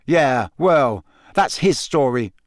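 A man's voice: fairly high pitch, lively intonation, moderate pace, dry recording, studio quality, voice pushed up, close to an everyday voice. A man voicing a jealous, stubborn teenage schoolboy in an audiobook, sulky and scathing, with a sneering, accusatory manner. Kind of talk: audiobook character voice.

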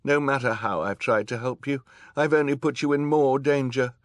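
A man's voice: mid-range voice, steady tone, moderate pace, no room sound, studio quality, voice pushed up, lightly acted. A man voicing an earnest, distressed woman judge, indignant and pleading, in a storybook reading. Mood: distraught.

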